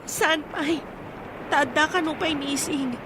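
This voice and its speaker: A woman's voice: high voice, slow. A young woman with an Ilocano accent playing an earnest village woman searching for news. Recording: clear crowd noise behind.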